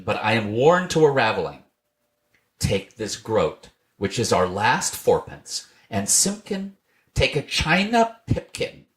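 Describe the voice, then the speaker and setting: A man voicing a poor, weary old tailor from a storybook, muttering to himself in old-fashioned phrases. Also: medium pitch.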